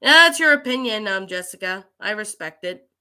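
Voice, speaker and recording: A woman with a cold, chatting casually as a livestream host and answering viewers in a halting way. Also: medium pitch, animated, pushed and bright, clear, resonant tone. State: getting over a cold with allergies. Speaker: livestream host and creepypasta narrator.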